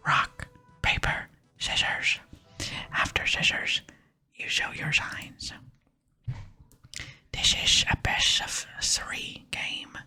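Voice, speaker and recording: A woman's voice: on the low side, bright, forceful tone, slightly rough, exaggerated voice. A woman voicing a cocky, beer-loving male explosives expert with a casual, sly manner in an ASMR game reading.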